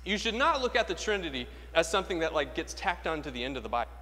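Higher-voiced American preacher in an echoing church. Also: male voice, very animated, fast talker, sharp tone. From Florida, United States. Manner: emphatic, rhetorical questions, repeats key phrases. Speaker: Anglican priest preaching. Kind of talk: sermon. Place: large church interior, speaking from a lectern. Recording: echoey church with long reverb.

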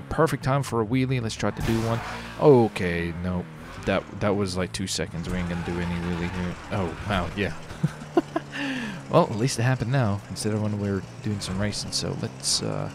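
A man's voice: on the low side, background noise. A man commentating casually on his gameplay as a streamer, with slangy, sweary talk. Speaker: streamer hosting a gaming video.

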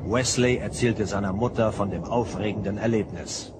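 A man's voice: on the low side, normal speed, background noise. A man narrating a scripted science fiction audio drama. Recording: clear music bed under narration.

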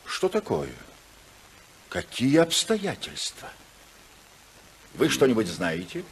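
An actor playing an accusing, indignant senator husband, scornful in manner, in 1950s radio drama style. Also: male voice, medium pitch, huge pitch swings, takes it slowly, vocal fry, breathy edge, exaggerated voice. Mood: suspicious, threatening. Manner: sharp, scornful.

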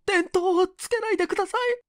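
A man voicing a desperate, terrified captured gangster with rough speech in a story reading. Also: very high-pitched, fairly quick, no echo, clean studio sound, pitched above the performer's own voice. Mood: terrified pleading. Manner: rough slangy speech, screaming and muttering.

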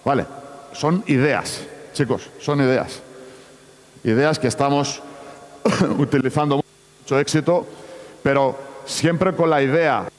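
A man's voice: medium pitch, slow, pauses often. A man who is not a native Spanish speaker runs a basketball coaching clinic, giving choppy instructions and calling out to players on court. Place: large indoor basketball arena. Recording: echoey sports hall.

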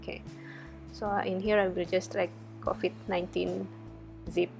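A woman's voice: lowish voice, flat delivery, normal speed, gravelly, over music. A young woman from Indonesia, a research fellow, talking viewers through a coding tutorial over a screen recording in a chatty way with many fillers. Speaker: research fellow teaching data analysis.

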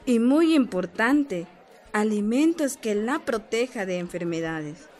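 Guatemalan village health adviser in a radio drama, friendly n sure of herself, explains patiently, slightly high voice. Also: female voice, animated, takes it slowly, darkish tone. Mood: reassuring, instructive. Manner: instructive, patient, encouraging. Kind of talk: radio soap opera acting.

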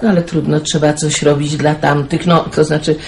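A woman playing a kindly older aunt in a radio drama, gentle and matter-of-fact, laughing easily. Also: deep voice, little pitch movement, normal speed, vocal fry. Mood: hospitable. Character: older aunt, kindly, brave despite fear. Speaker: aunt Kazia. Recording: noisy radio play audio.

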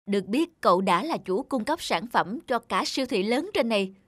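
A woman's voice: a little high, animated, normal speed, dry recording, clean studio sound. A woman playing a jovial, effusive young man, an old friend, in a cartoon. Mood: admiring. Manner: effusive, chummy. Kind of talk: cartoon dub acting.

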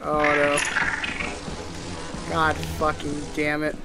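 A man chatting and yelling over voice chat while playing a game with friends, swearing often and shouting in surprise. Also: on the high side, monotone, very slow, over loud music. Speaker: gamer in group voice chat. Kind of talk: casual gaming chat.

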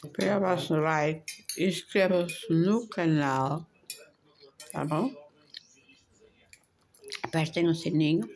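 An older woman with a European Portuguese accent chats slowly in a creaky voice. She rambles fondly to her viewers from the dining table, with faint clinks of cutlery and dishes around her. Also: low voice, darkish tone. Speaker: home vlogger. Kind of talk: casual chat to viewers at the table. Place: home dining room, indoors. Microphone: handheld phone. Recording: handheld phone, clinking cutlery noise.

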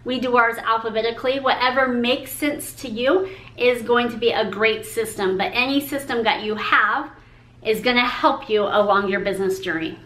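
A woman's voice: fairly high pitch, sharp tone, echoey room, rough recording. A woman in her forties talking to the camera, upbeat and encouraging as she shares business tips and gestures while she speaks. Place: room with a pink painted brick wall.

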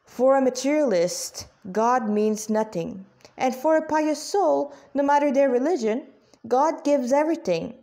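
A woman reading the part of a serene, pious devotee in a story, measured and earnest. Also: high-pitched, expressive, warm tone. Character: serene, pious devotee, humble and gently teasing.

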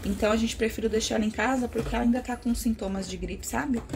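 A woman's voice: a little high, fairly even intonation, fast, creaky. Chatty young Brazilian woman vlogging at home. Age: young adult. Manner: chatty, addressing viewers with pet names. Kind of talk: casual chat to camera. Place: small rooms at home, indoors. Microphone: phone at arm's length. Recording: noisy handheld home recording.

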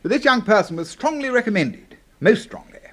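Genial British ex-military gentleman, high-pitched. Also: expressive, fairly quick. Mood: slightly evasive, courteous. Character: courteous, genial old-school retired brigadier, boss. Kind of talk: 1970s British radio drama acting.

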